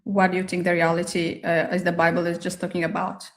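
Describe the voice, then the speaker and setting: A woman's voice: lowish voice, completely flat delivery. Hesitant woman from Ethiopia asking questions. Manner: hesitant, halting, many fillers. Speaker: caller. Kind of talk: call-in questions.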